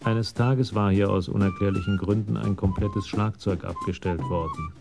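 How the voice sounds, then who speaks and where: An actor narrating a police radio drama in a measured, literary storytelling manner. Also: male voice, on the low side, fairly even intonation, creaky. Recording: music under the voice.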